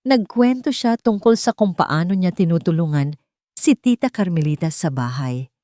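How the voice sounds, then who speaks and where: A woman narrator plays an upbeat, polite kindergarten director in an audio drama. The delivery is enthusiastic, the sound mellow and dry. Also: fairly low pitch, normal speed, no room sound, natural voice. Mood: excited, reporting. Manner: lively, enthusiastic. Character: cheerful, polite female kindergarten director on the phone.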